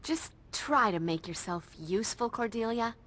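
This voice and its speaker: An actress playing a bossy, sarcastic teenage demon fighter with an American accent in a video game, blunt and slangy. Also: female voice, on the high side, animated, moderate pace, a bit bright.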